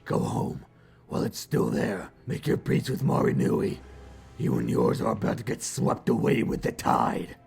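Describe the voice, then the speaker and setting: A man voicing a threatening, sardonic underwater warlord in an animated fan dub, growling and mock-casual. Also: lowish voice, moderate pace, soft background music, heavily acted. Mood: scornful. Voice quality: gravelly, growling. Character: sardonic, threatening crab-army sea warlord, a tough thug.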